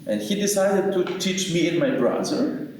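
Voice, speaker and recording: A man from Ukraine with a Ukrainian accent, a priest answering interview questions and telling his story in halting English, repeating words as he searches for them. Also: high-pitched, animated, darkish tone. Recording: echoey room, mic far off, faint noise.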